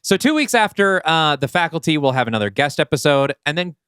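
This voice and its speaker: Higher-voiced man, clear and lively. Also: a little fast, dry recording, clean studio sound. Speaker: podcast co-host. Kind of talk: casual podcast chat.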